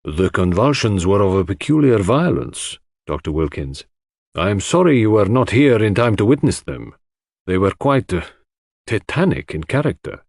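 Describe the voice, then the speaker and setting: A man voicing a grave, guarded doctor with a formal, measured manner in an audiobook mystery. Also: on the low side, sing-song, vocal fry, darkish tone, no echo, clean studio sound, full character voice. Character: grave, guarded male doctor, precise and authoritative. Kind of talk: dramatic audiobook mystery reading.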